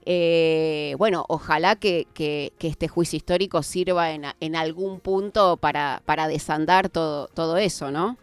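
A woman's voice: sits low, fairly even intonation, a little slow, hardly any pauses, clean tone, faint music behind. A woman radio host, reflective and repeating words as she sums up. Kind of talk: radio interview.

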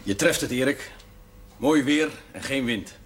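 An actor playing a brisk, encouraging small-town man in a television drama. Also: mid-range voice, a bit bright, husky. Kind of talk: naturalistic television drama acting.

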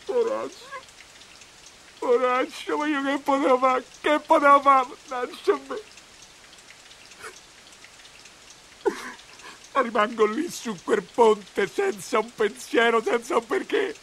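An actor playing an excitable, humble nineteenth-century coachman from Rome, telling his story in a theatrical dialect monologue with a Roman accent. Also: extremely high pitch, unhurried, creaky, a little hiss or hum, heavily acted, poor audio. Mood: anguished. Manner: earthy, emotional, colloquial storytelling.

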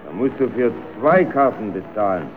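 An actor playing an instructive, easily exasperated carousel ticket seller in an old radio play. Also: male voice, lowish voice, lively intonation, normal speed, faint music behind, natural voice. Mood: patient, businesslike. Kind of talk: old radio play, comic dialogue acting. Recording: tinny, narrow-band old radio recording.

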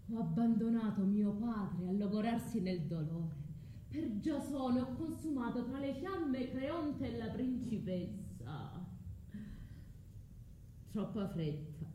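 A woman's voice: a little high, expressive, slow, mellow, slight background noise. An actress playing a scorned, vengeful sorceress mother, proud and anguished, declaiming in a theatrical radio drama. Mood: dark, grieving resolve. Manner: declamatory, swinging from brooding murmur to impassioned cries. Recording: roomy echo with music behind.

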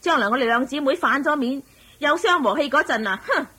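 A middle-aged auntie from a radio drama with a Hong Kong Cantonese accent. Her voice is high and piercingly bright. She chatters, exclaims and pushes, brooking no refusal. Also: lively intonation, brisk. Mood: indignant, pushy. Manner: insistent, exclamatory, wheedling.

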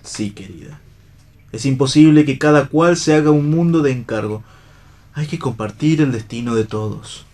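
A man's voice: medium pitch, animated, moderate pace, a little mellow, natural voice. A man acting a philosophical vagabond husband in a play reading for radio, with declamatory, literary phrasing that is tender, bitter and ironic.

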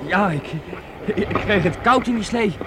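An actor playing a hesitant, stammering young serf in a radio play. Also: male voice, high voice, a little slow, background noise.

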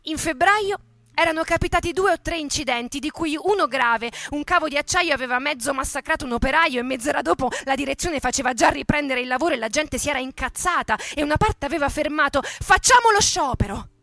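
A woman's voice: high-pitched, brisk, pushed and bright, no room sound. A woman playing an indignant young male factory worker in an emphatic, questioning stage monologue. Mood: urgent recollection.

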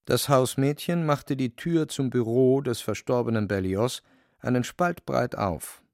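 A man narrating a literary radio drama in a measured, wry storytelling manner. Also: medium pitch, gravelly, a little mellow, no room sound, clean studio sound.

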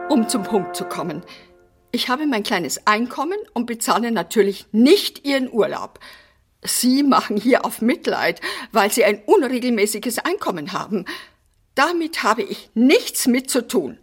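A woman's voice: fairly high pitch, sing-song, measured pace, husky, faint music behind, heavily acted. An actress playing a prim, bossy and whimsical eccentric woman in a German radio play. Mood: indignant. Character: fussy, eccentric fish-loving woman living in an apartment house.

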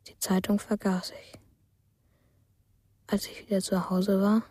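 A woman voicing a dreamy, withdrawn child in a radio drama monologue, soft and half-whispered, in simple detached sentences. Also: mid-range voice, little pitch movement, unhurried, slightly rough, no room sound, studio quality. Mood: dreamy, hushed.

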